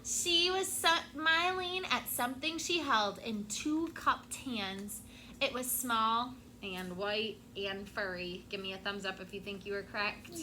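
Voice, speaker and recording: A woman teacher reading a picture book aloud to her class, expressive and stopping to prompt the children. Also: a little high, unhurried, a little hiss or hum, rough recording.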